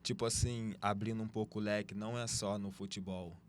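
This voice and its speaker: A man's voice: lowish voice, steady tone, fairly quick, slight background noise. A man co-hosting a podcast, reacting with short slangy remarks in casual conversation. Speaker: podcast co-host. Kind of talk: casual interview talk. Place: podcast studio.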